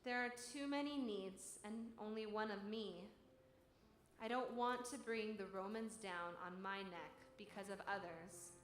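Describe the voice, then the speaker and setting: A woman giving a solemn, earnest dramatic reading of a devotional text, deliberately phrased. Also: medium pitch. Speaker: church reader. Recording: echoey room. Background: music.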